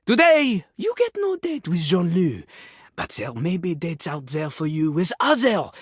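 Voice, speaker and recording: Cocky french rapper toilet from a game, highish voice all over the place, super pompous and dramatic. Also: male voice, dry recording, studio quality, exaggerated voice. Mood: formal, magnanimous. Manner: pompous, grandiose, refers to himself in third person. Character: boastful, theatrical French rapping toilet, a swaggering man. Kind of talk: animated video game character acting.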